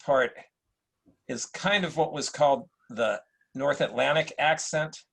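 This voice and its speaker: Husky, creaky-voiced man explaining things. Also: medium pitch, unhurried, fairly bright tone. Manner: hesitant, discursive, explanatory. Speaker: actor. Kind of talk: casual explanatory discussion.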